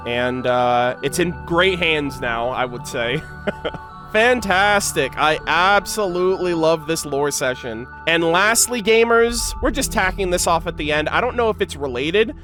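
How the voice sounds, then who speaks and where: Man, high-pitched voice, gaming creator, slangy reaction and lore reading, music underneath. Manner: excitable, slangy, exclamatory. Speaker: gaming content creator, host. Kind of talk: reaction commentary with read-aloud game lore.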